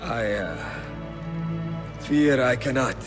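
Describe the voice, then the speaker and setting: An actor playing a badly wounded, loyal warrior in a video game, weak, halting and solemn. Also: male voice, mid-range voice, expressive. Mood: grave resignation. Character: mortally wounded loyal warrior brother, principled and resigned. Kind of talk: cinematic video game acting. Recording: long hall reverb, music behind.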